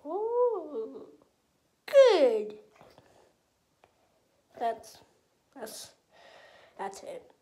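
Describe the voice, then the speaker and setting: A woman voicing a playful, easily exasperated shark puppet in improvised play, halting and exclamatory as it talks to itself. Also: high voice, sing-song, dark tone, full character voice. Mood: resigned, muttering. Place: small home bathroom. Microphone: handheld phone or camera.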